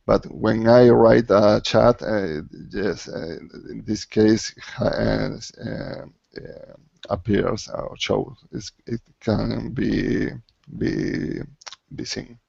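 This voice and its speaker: Gravelly, halting Spanish man. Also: fairly low pitch, steady tone, a little mellow, no echo. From Almería, Spain. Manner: hesitant, searching for words. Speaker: English student. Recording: dull online call audio.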